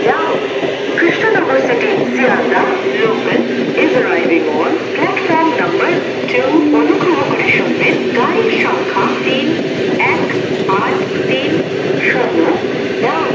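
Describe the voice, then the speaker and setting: A woman's voice: sits high, far from the mic, heavy background noise. A woman railway station announcer making scripted public announcements in a formal, stitched phrase-by-phrase delivery over station speakers. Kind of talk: scripted public train announcement, repeated in three languages. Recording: echoey station PA from afar, muffled, crowd.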